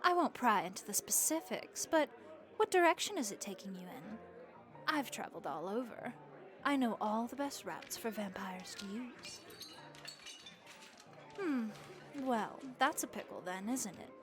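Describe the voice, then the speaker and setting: A woman's voice: a little high, animated, unhurried, pauses often, over music. A woman playing a friendly, worldly vampire innkeeper in a comforting audio roleplay, chatty and encouraging with a wry, folksy way of talking. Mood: helpful, easygoing.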